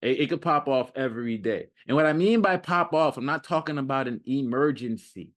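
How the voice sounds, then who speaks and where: Man, mid-range pitch, motivational coach, unscripted monologue, emphatic, repetitive, slangy. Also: lively intonation, brisk. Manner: emphatic, repetitive, slangy street-casual talk. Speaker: self-improvement coach and content creator.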